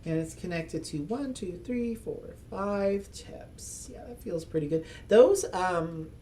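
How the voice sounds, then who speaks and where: Man musing slowly through a board game. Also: sits high, animated, slight background noise. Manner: thinks aloud, chuckling, self-mocking asides; speaks as a loud, projecting voice. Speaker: board game channel host. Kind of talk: casual solo playthrough commentary.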